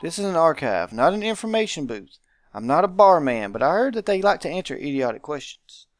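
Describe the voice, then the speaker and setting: A man's voice: on the high side, big pitch swings, a little fast. A man voicing a grumpy, sarcastic fat clerk from a visual novel, dismissive and easily bothered. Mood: annoyed, dismissive. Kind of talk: visual novel character read-aloud.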